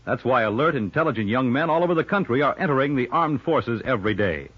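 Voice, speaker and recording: A man's voice: mid-range voice, lively intonation, fast talker, husky. A man reading a scripted 1950s radio announcement in an earnest, emphatic manner, on a muffled old recording.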